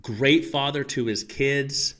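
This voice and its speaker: Man, podcast guest, casual chat, rambling, animated, swears. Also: medium pitch, slow pace. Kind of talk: casual conversation.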